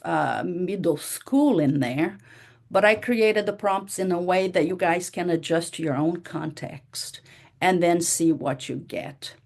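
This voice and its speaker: A woman whose first language is Portuguese, giving a conversational workshop talk to teachers, with frequent fillers and restarts. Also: medium pitch. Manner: conversational, many "you know" fillers and restarts. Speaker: workshop presenter.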